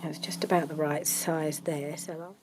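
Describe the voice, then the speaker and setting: A woman's voice: deep voice, level tone, normal speed, a little mellow, a little hiss or hum. A woman, chatting casually about her crafting project, congested from a cold and rambling with many ums. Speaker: craft vlogger.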